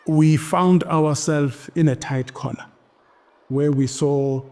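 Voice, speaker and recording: South african man, 60s, mellow voice, firm deliberate interview recollection. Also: fairly high pitch, fairly even intonation. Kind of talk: recounting personal history.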